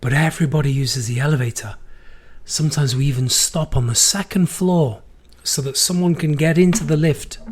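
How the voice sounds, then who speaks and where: A sick man hosts his solo podcast, his voice strained and worn from a cold. He reads a poem at a slow, deliberate pace. He also rants with strong opinions about habits he finds illogical. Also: mid-range voice, a little mellow. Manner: slow deliberate reading, then opinionated ranting. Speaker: podcast host.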